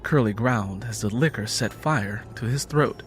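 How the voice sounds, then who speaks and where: A man's voice: lowish voice, moderate pace, soft background music. A man narrating scripted fiction in a dramatic storytelling style.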